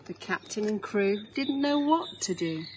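A middle-aged woman with an English accent reads a children's storybook aloud in a measured, clearly phrased way. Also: medium pitch, lively intonation, a little mellow. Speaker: head teacher reading a picture book. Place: outdoors in a small garden. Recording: outdoor background noise.

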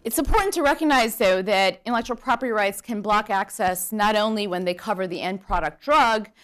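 A woman in her thirties, a law professor, giving a welcoming speech at a podium to a conference audience, with a measured lecture delivery and frequent ums. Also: mid-range voice. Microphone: podium microphone.

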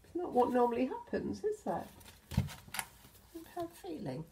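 An older British woman reads a picture book to small children at a very unhurried pace. Her voice sits fairly low and has a crackly edge. She is expressive and often turns to the children with gentle questions. There is slight background noise with some clicks. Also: creaky. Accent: British English. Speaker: storyteller, infant school teacher. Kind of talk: children's picture book read-aloud with asides. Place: indoors in a home hallway.

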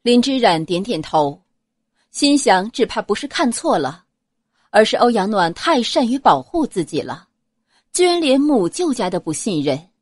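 A woman's voice: fairly high pitch, sing-song, moderate pace, breathy edge, no room sound, studio quality. A woman reading scripted, dramatic narration of a novel as an audiobook narrator.

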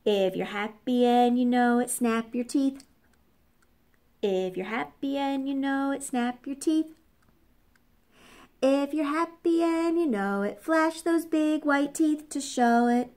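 A woman's voice: high voice, slow pace, darkish tone, pitched above the performer's own voice. A woman voicing a boastful, scornful crocodile in a sing-song children's storybook style. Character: boastful crocodile with tiny ears, singing.

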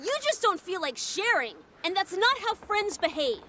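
A woman's voice: very high-pitched, sing-song, moderate pace, bright, forceful tone, a little hiss or hum, heavily acted. A woman voicing an eager, bossy young boy leopard cub in a children's cartoon, commanding and argumentative. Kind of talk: dubbed children's cartoon acting.

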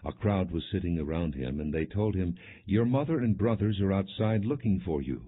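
A man with a very low voice reads scripture as a scripted audiobook narration. His tone is husky and his delivery is measured and solemn. The recording sounds muffled and low in fidelity. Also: expressive. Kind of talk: scripted narration, non-dramatized scripture reading.

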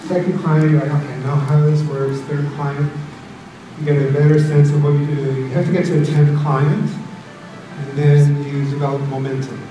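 A middle-aged man giving a relaxed motivational talk to students in a conference room, with fillers. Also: a little high, normal speed, soft background music. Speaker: founder speaking to students. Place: large hotel conference room. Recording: echoey room from far off.

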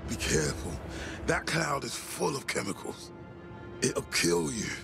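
Slow, gravelly, weakened game ally. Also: male voice, mid-range voice, lively intonation. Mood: grave pleading. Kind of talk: video game dramatic acting. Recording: loud game music behind the voice.

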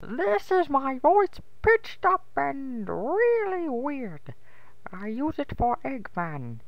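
A man voicing a wacky male mad-scientist villain in a strained, pitched-up, weird voice. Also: huge pitch swings, slow pace, creaky, no room sound, heavily acted. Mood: zany. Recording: muffled lo-fi home mic.

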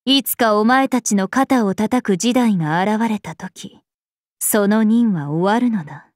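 A woman's voice: medium pitch, measured pace, dry recording, studio quality, close to an everyday voice. An actress playing an aloof, ancient female spirit in an anime game, speaking in a stately, imperious and archaic manner. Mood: solemn, regal. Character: regal, aloof ancient female planet spirit, calm and haughty. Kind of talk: anime game character voice lines.

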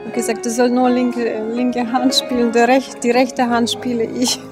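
A woman from Belarus chats in an interview with a fairly high voice. She speaks hesitantly, searching for words as she goes. Music plays clearly behind her. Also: monotone, moderate pace. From Minsk, Belarus. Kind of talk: casual interview chat. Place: outdoors in the evening.

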